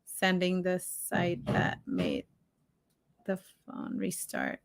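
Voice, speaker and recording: A woman's voice: lowish voice, wildly swooping pitch, slow pace. A woman co-hosting a live stream in casual conversation, chatty and informal, with exclamations and slangy asides. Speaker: podcast co-host.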